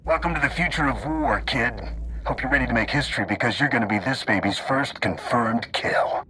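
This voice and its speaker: Guy playing a gloating menacing game villain, cocky taunts, loud clamour behind him. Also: medium pitch, brisk, crowd noise, natural voice. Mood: taunting. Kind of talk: video game voice acting.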